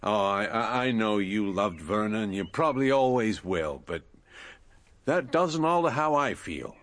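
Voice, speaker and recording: An earnest, insistent man in a radio drama. His voice is fairly low, with very lively rises and falls that press each point home. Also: unhurried. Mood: earnest wooing.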